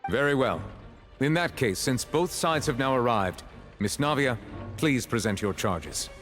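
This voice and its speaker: An actor playing a stern, formal judge in a video game, speaking in a measured, authoritative manner. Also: male voice, medium pitch, expressive, fairly bright tone, soft background music. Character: stern, formal male judge presiding over a court. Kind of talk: scripted game dialogue.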